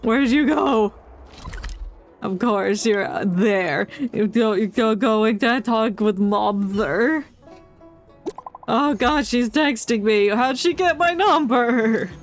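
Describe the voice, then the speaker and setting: Slow-paced, higher-voiced woman streamer joking around. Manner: joking, sarcastic. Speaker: game streamer. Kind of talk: casual live commentary on a video game. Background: game music.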